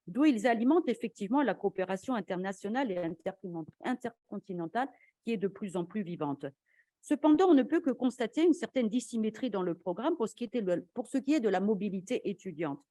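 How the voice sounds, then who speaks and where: A woman academic giving a formal conference lecture in a measured, argumentative way, as an invited speaker. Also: mid-range voice, dark tone, dry recording.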